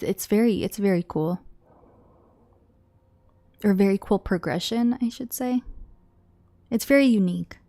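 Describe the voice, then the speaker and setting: A woman's voice: mid-range voice, creaky. A young woman streaming a game, chatting casually with her viewers, sounding congested and a bit nasal from a cold, rambling and restarting her sentences. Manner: chatty, rambling with repeated restarts and quiet asides.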